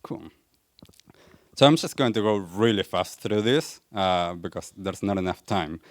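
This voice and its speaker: A young man with an Argentine accent speaks to a live audience in a low voice, earnest and questioning. The sound is close and dry, with little room echo. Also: measured pace. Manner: earnest, asks the audience questions, light laughs. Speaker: wellness coach and speaker. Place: event venue in front of a live audience.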